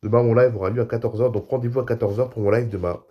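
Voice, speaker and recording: A man with a cold, speaking softly as the host of an online aviation news show, reading articles and adding casual asides. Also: medium pitch, fairly even intonation, rapid-fire, husky, dull audio. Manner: reads articles, then adds loose spoken asides.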